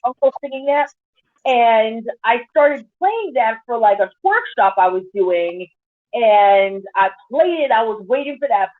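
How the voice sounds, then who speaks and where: Woman instructor, lively anecdotal panel talk, a touch high, thin phone-like call sound. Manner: animated storyteller, quick asides. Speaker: pole dance instructor. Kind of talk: casual panel discussion. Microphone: video call. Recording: tinny phone-like video call audio.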